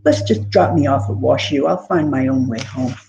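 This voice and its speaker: A woman's voice: rumbling low, moderate pace, warm tone, lo-fi sound, voice pushed down. A woman voicing an insistent, blunt sister in her thirties in a storybook reading. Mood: demanding. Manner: insistent, retorting, forthright. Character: bossy, blunt, restless 32-year-old sister. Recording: clear background noise.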